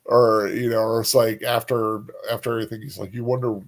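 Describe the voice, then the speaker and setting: A man's voice: lowish voice, level tone, fairly quick. A man co-hosting a casual book chat, talking loosely and informally as he thinks aloud. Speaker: co-host. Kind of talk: casual chat about books.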